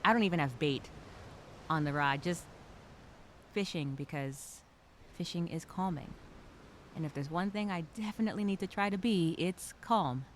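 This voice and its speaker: A woman playing a scared but determined castaway, speaking her survival journal as a halting, expressive dramatic monologue. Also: fairly low pitch, a little hiss or hum, natural voice. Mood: anxious, subdued.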